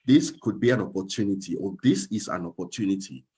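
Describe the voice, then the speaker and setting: Young man, from Cameroon, very low voice, husky, hesitant with fillers, interview guest. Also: quick pace, dark tone. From Cameroon, Northwest. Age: young adult. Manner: hesitant, many fillers and restarts. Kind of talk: casual interview talk.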